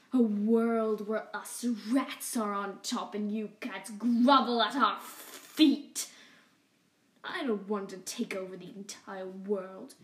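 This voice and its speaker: A woman's voice: on the high side, fairly bright tone. A woman voicing a cruel, sneering rat king. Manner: sneering, drawn-out menacing speech. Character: scheming male opossum rat king, boastful, cruel. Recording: echoey room, mic at a distance.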